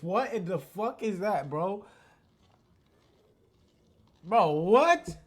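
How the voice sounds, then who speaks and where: A young man reacts casually as a video host, talking in slangy bursts and repeating himself. His voice sits a little high and has a very husky grain. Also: animated, extremely slow. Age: young adult. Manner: slangy, repetitive exclamations. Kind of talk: casual off-the-cuff reaction commentary.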